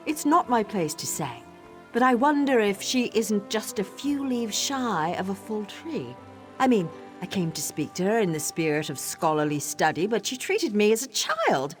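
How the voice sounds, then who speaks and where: Flustered young scholar, very lively pitch. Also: female voice, measured pace, faint music behind. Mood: puzzled, slightly hurt. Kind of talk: video game character acting.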